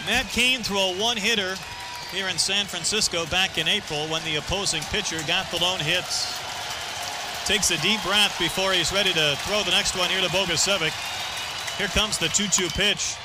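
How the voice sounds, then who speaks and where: A male baseball announcer with a high-pitched voice gives live play-by-play from a stadium booth. A loud crowd and heavy background noise fill the broadcast behind him. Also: fairly even intonation, moderate pace. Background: roaring stadium crowd.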